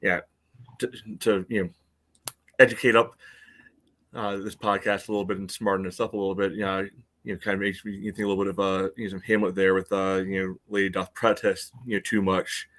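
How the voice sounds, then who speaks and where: A man's voice: low voice, flat delivery, a little fast, a bit bright. A man co-hosting a casual sports podcast, talking in a loose, rambling way with plenty of filler phrases. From United States. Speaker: podcast co-host.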